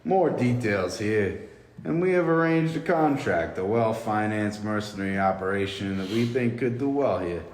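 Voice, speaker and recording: A man's voice: fairly low pitch, lively intonation, moderate pace, darkish tone, lightly acted. A man in an amateur table read playing a pushy, foul-mouthed recruiter of mercenaries, impatient and shady. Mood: curt, menacing. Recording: echoey reverberant room, background noise.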